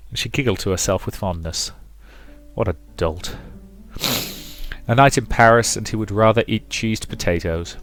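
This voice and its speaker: A man's voice: lowish voice, moderate pace, a bit bright. A man reading a visual novel aloud as a let's player, mixing dramatic narration with casual commentary. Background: game music.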